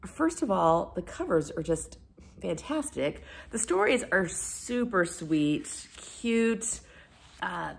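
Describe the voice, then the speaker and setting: A woman's voice: on the low side, lively intonation, slow, vocal fry. A woman talking casually as a book reviewer, with an enthusiastic, effusive and informal manner. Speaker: book reviewer and video host. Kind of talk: casual chat to camera about books.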